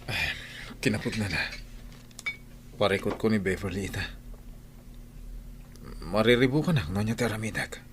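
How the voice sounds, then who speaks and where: Man acting suspicious hard-drinking man, lowish pitch, Ilocano accent, radio drama, clear vehicle noise. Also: moderate pace, pauses often, a bit bright, close to an everyday voice. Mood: troubled, suspicious.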